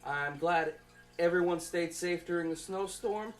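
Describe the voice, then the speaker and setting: A young adult college student giving an informal class presentation in a conversational, rambling and halting way, as if thinking aloud. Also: mid-range voice.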